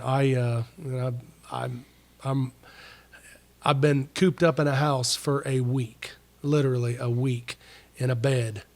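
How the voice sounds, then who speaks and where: A middle-aged man with a stuffy, clogged-up voice from a recent illness, co-hosting a podcast. He talks in loose, rambling stretches, breaking off and starting again, and his phrasing is folksy and full of slang. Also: mid-range voice. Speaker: podcast co-host. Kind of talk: casual chat.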